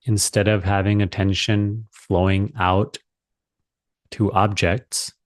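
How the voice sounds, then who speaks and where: Slow, low-voiced man guiding meditation. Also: dry recording, clean studio sound. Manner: calm, deliberate, with long pauses. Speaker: meditation teacher. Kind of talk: guided meditation.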